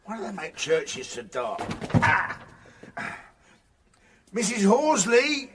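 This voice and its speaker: A man's voice: high voice, wildly swooping pitch, takes it slowly, very noisy, full character voice. An actor playing a grumpy, rude police chief inspector in a radio drama, sarcastic and irritable as he barks orders. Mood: exasperated.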